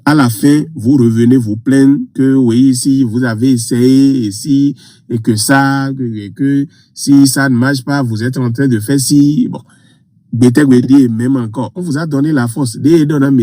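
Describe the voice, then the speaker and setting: A man phoning in to a live show, hoarse from a cold, speaking as a Goun speaker, rambling and halting with many fillers. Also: medium pitch, dark tone, no echo, studio quality. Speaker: caller.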